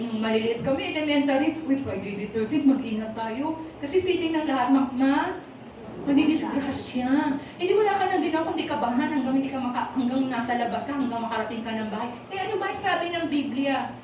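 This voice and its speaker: A Filipino-accented woman preaches in a chatty, teasing way, tossing questions at her listeners. Her voice is fairly high. It comes from a distance in a very echoey hall. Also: fairly quick. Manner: animated and teasing, puts rhetorical questions to the congregation. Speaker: preacher at a Sunday worship service. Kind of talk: conversational sermon mixing Tagalog and English. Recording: echoey hall from far off, noisy.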